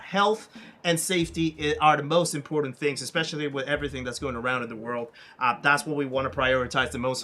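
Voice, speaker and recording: A young man streaming a sim racing session, chatting casually with viewers and reading out chat, his throat rough and scratchy. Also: fairly high pitch, pushed and bright. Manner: chatty, reads and answers chat aloud.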